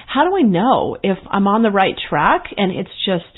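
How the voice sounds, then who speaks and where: A woman hosts her own podcast in an earnest, reflective monologue, slipping into the voices of the people she describes. Her voice sits in a middle register and comes through thin and phone-like, with the low and high ends cut away. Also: sing-song, moderate pace, husky. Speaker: podcast host and coach. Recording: phone-like narrow sound.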